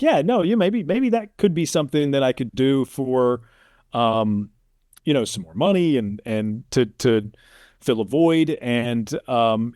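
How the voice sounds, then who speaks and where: A man's voice: medium pitch, a little mellow, dry recording, clean studio sound. A middle-aged American man, an interview guest, talking casually and reflectively in a storytelling way. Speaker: interview guest, sports play-by-play announcer.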